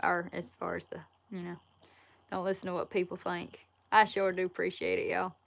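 A woman in her twenties with a Southern Alabama accent, chatting casually on a livestream and answering viewer questions in a relaxed, folksy way from a wood-paneled room. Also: on the low side, normal speed, vocal fry. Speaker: outdoors YouTuber answering live chat. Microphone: laptop or phone camera at arm's length.

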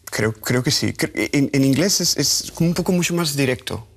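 A man in his thirties, Honduran-born with English as his first language, speaking with a half-American Honduran accent as a scientist guest in a TV studio interview, talking haltingly with restarts. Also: mid-range voice, expressive, gravelly. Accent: Honduran Spanish with American English. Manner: halting, restarts words, gestures while talking. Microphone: lapel.

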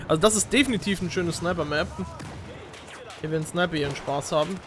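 A young man with a notably high voice talks casually through a game with his friend. His talk is slangy, repetitive and sprinkled with English. Faint gunfire and game ambience are heard behind him. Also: brisk, a little hiss or hum. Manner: slangy, repeats words, mixes in English. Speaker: gaming video commentator. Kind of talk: casual gameplay commentary with a friend. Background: shooter game gunfire and ambience.

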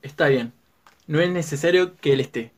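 An actor playing the discreet adult son of a ranch family in a radio drama, with a Rioplatense accent. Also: male voice, fairly high pitch, normal speed, lightly acted. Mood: guarded. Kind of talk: radio soap opera acting.

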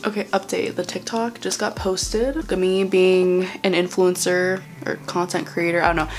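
A woman in her early twenties chatting frankly to the camera in a casual vlog from her bedroom, swearing now and then. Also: on the low side. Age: early 20s. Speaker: vlogger. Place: bedroom at home, indoors. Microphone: camera on a stand, she moves around the room.

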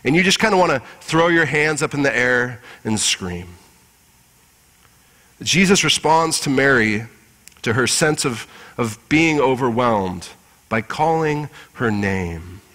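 A man in his forties preaching a sermon as pastor, measured and earnest, with deliberate pauses, in a church sanctuary. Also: mid-range voice, lively intonation, bright, forceful tone. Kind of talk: Easter Sunday sermon with scripture reading. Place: large church sanctuary with congregation. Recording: reverberant church hall through PA.

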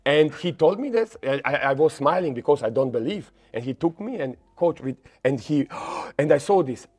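A middle-aged man, a native Croatian speaker, talking as a guest in English that halts and repeats words, sometimes getting animated when telling a story. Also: fairly high pitch, normal speed. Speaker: football coach, guest. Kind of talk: casual interview in non-native English. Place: dark studio set with red armchairs. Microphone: lapel mic.

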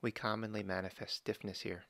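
Gravelly low-voiced man guiding a meditation. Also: no room sound. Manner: slow, calm instructions with long pauses. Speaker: meditation guide. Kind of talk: guided body scan meditation.

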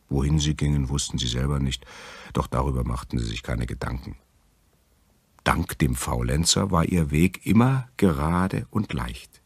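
Male fairy-tale narrator, extremely low and husky, recorded very dry. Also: no room sound. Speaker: storyteller.

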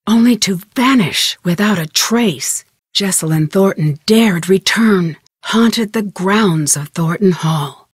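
An eerie ghost-story narrator, slow and husky. Her pitch sits slightly low, and the recording is dry. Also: dry recording. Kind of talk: trailer narration.

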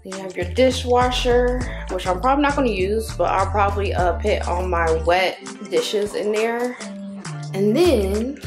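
Young woman walking around her empty apartment, gushing and chatty, phone mic, music playing under her. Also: mid-range voice. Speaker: vlogger. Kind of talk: casual apartment tour. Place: empty apartment indoors, rooms and patio. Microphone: handheld phone camera.

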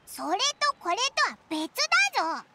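A woman voicing a tiny, cheeky, gluttonous fairy companion in an anime game, with a squeaky childlike voice and brash, whiny, perky delivery. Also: very animated, moderate pace, bright, forceful tone, a little hiss or hum, heavily acted. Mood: cheerful, cheeky. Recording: music under the voice. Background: game music.

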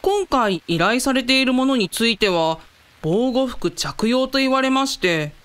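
A woman's voice: fairly high pitch, expressive, a little slow, a bit bright. A woman playing a polite, anxious young female research scientist in a radio drama. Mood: earnest, explaining.

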